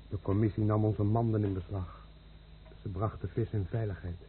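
A man's voice: on the low side, fairly even intonation, normal speed, lightly acted. An actor playing a grim, resigned outcast fisherman giving plain testimony in a solemn radio play. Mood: grave testimony. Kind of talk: solemn radio drama chorus acting. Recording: muffled noisy old radio play recording.

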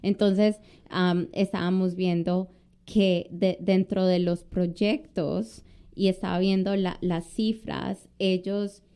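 Lawyer lady on a podcast, lowish mellow smooth voice, keeps restarting and saying am, eh. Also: flat delivery, slow. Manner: hesitant, many restarts and fillers. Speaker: immigration lawyer and podcast co-host. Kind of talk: casual conversational chat.

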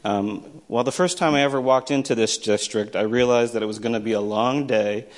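A man's voice: medium pitch, a little fast, a little hiss or hum. An American man telling a personal story to listeners in a wry, self-deprecating manner. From small town in the United States. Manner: wry, self-deprecating, acts out remembered dialogue. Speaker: storyteller, poet and teacher.

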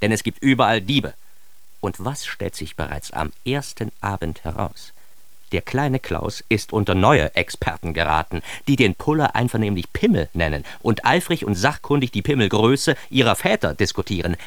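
A man's voice: lowish voice, very animated, normal speed, dry recording. A man playing a neurotic, self-mocking narrator of his own childhood in a radio drama, in standard German with a wry, theatrical manner. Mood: bemused.

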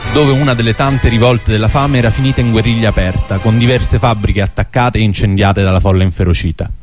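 A man narrating a historical radio drama from a script. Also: medium pitch. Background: music under the voice.

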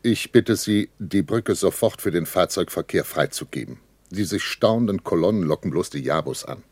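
A man's voice: low-pitched, lively intonation, moderate pace, breathy edge, dry recording. A sceptical army captain in a 1960s radio drama. He gives orders curtly and with dry, resigned irony, in a studio sound with very little room. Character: weary, sceptical army captain commanding a bridgehead. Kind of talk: 1960s German radio play acting.

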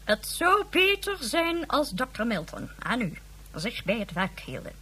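A woman's voice: on the high side, huge pitch swings, measured pace, bright, forceful tone, husky, heavily acted. A woman playing a haughty, icy figure of authority in a science fiction radio drama, formal and cutting. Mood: icy, dismissive.

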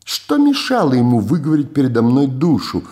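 A man's voice: mid-range voice, huge pitch swings, fairly quick, warm tone, pitched above the performer's own voice. An older man voicing a swaggering Odessa gangster boss who talks in a theatrical, mock-reasonable way. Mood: mock-reasoning.

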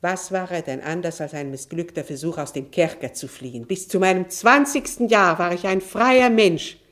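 An actress playing a proud, embittered estranged wife and mother in a German radio drama, speaking in a deliberate, theatrical, eloquent way. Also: fairly low pitch, lightly acted. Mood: impassioned, bitter.

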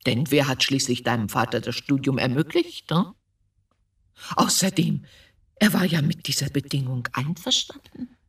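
A woman's voice: extremely low pitch, animated, moderate pace, breathy edge, distant mic, exaggerated voice. An actress playing a stern, reproachful, domineering mother of a grown son in a radio drama. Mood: defensive, scornful. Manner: severe, accusing.

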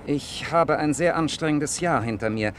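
An actor playing a sardonic, smug young defence lawyer in a 1960s German radio play, speaking in a dry, ironic way. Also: male voice, on the high side, normal speed, lightly acted. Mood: smug, joking. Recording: noisy 1960s radio recording with clicks.

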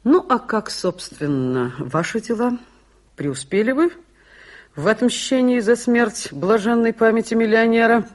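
An imperious mystic seer in a radio drama. She speaks very deliberately and slowly, solemn and mocking. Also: on the high side, expressive. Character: haughty, mocking mystic prophetess.

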